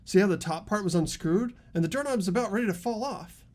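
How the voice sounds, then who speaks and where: A performer playing an earnest, argumentative high school student with animated questioning in a fan-voiced game. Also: brisk, lightly acted. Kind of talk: fan voice acting of a video game script.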